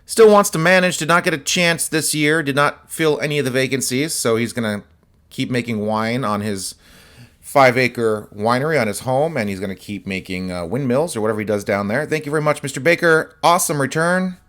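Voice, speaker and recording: A man with a slightly hoarse, scratchy voice, chatting casually as host while showing autographed sports cards. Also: medium pitch, a little fast. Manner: relaxed, chatty, many fillers and asides. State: slightly hoarse, voice partly lost. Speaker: host of a card-collecting channel.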